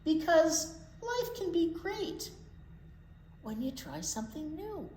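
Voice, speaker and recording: A woman reading a picture book aloud to young children as a storytime teacher, expressively, with deliberate pauses and little asides. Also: very high voice, sing-song, a little mellow. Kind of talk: animated picture book read-aloud for preschoolers. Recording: roomy echo, faint background noise.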